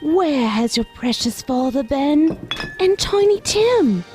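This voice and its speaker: Woman playing expressive, loving but indignant mother. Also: high voice, slow, faint music behind, exaggerated voice. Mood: affectionate. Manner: pointed, expressive. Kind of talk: audio drama acting.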